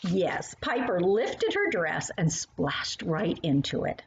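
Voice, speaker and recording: A woman's voice: on the low side, sing-song, takes it slowly, gravelly. A woman reading her own storybook aloud for young children, addressing the little listeners directly. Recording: dull lo-fi sound with faint noise.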